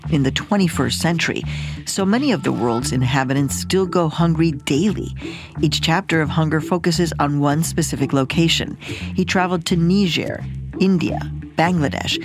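A woman hosting a radio program, reading scripted narration and putting questions to a guest. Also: low-pitched. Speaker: radio host.

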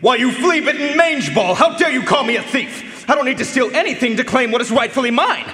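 A man's voice: very high-pitched, lively intonation, brisk, pushed and bright, heavily acted. A man playing a haughty, sneering suitor in a live radio drama, with pompous theatrical delivery. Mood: indignant. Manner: pompous, theatrical, florid insults. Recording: long hall reverb, music behind.

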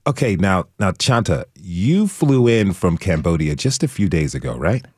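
A man hosting a radio show, reading a measured, scripted introduction and welcoming his guests. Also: low-pitched, sing-song, darkish tone, breathy edge, no room sound, studio quality.

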